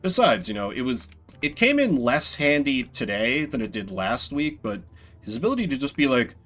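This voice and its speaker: A man's voice: medium pitch, very animated, moderate pace, fairly bright tone, faint music behind. A man streaming a video game with casual, wry, joking commentary, thinking aloud as he plays.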